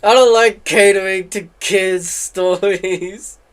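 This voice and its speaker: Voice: mid-range voice, fairly even intonation, slow pace, smooth. A game streamer reacting casually on a livestream. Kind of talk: casual livestream reaction and reading dialogue aloud. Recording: game music in the background.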